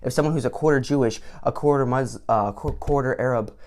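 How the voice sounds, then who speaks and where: A man's voice: medium pitch, brisk, a little mellow. A teenage boy giving sarcastic commentary on an online quiz, with mock-indignant outbursts. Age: late teens. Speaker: vlogger.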